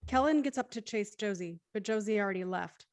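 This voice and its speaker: A woman's voice: mid-range voice, animated, a little fast, dry recording. A woman narrating, reading scripted stage directions aloud.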